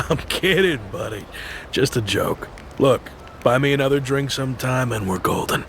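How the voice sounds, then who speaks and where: An actor playing a friendly, teasing homeless man in a video game dub, with a gravelly American voice. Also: mid-range voice, lively intonation, normal speed, a bit bright, slight background noise. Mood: jovial, teasing. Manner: teasing, folksy.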